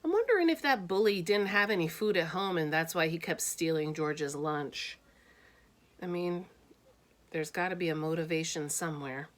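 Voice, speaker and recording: A woman reading a children's storybook aloud with expressive, deliberate phrasing and casual asides. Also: lowish voice.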